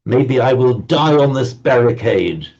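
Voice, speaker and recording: A man's voice: medium pitch, expressive, unhurried, a little mellow, lo-fi sound. An older man teaching a language class, playfully pedantic and prone to stumbling repetitions.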